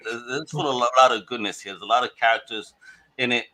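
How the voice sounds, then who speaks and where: A man's voice: medium pitch, quick pace, bright, forceful tone, poor audio. A man hosting a casual podcast chat, enthusiastic and laughing often as he asks his guests questions. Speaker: podcast host.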